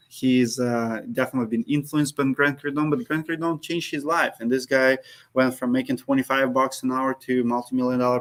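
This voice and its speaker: A man's voice: mid-range voice, a bit bright. Accented man hosting casual live Q&A. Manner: says he speaks with an accent, drops articles, many fillers and restarts. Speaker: host. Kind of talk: live Q&A with viewers. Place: Minneapolis.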